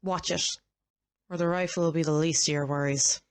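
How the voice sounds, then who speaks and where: Supporting role: actress as a cool, sardonic teammate with a lower voice. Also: female voice, fairly even intonation, a little fast, clean tone. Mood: dry. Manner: dry and sardonic. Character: sardonic, unflappable female crew member. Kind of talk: audio drama acting.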